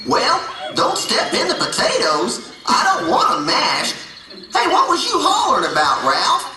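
A performer voicing a patient, folksy animal puppet in a children's religious show, explaining gently with a drawl and dropped endings. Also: medium pitch, wildly swooping pitch, unhurried. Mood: folksy, curious. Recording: echoey hall, distant mic, music behind.

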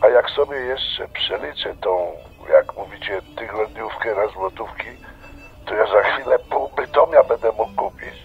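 A man's voice: lowish voice, measured pace, highs cut off. An actor playing an ambitious, wry Polish immigrant man in a radio drama, self-assured, over a telephone.

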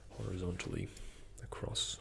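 A young man speaking in a breathy, hushed ASMR whisper, gently and unhurriedly, close to the microphone as in a doctor roleplay. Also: normal speed, a little hiss or hum. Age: young adult. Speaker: ASMR creator playing a doctor. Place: indoors, close before a dark backdrop.

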